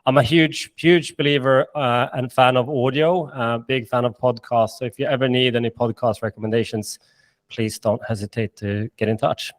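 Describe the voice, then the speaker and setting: A man's voice: mid-range voice, fairly even intonation, normal speed. Swedish-accented man presenting a webinar. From Sweden and Finland. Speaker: managing director presenting.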